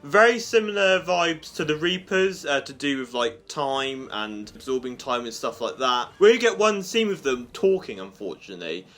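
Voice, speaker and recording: A man's voice: medium pitch, lively intonation, normal speed, hardly any pauses, fairly bright tone. A man giving a casual, chatty and enthusiastic review to his online viewers. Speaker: YouTube reviewer and host. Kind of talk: casual review of an audio drama, spoken to viewers. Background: music under the voice.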